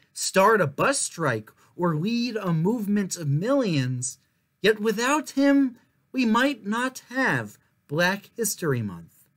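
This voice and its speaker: A young man reading a children's picture book aloud as a storyteller, in measured, clearly phrased narration. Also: high voice, fairly bright tone. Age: young adult. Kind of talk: scripted narration, story time read-aloud.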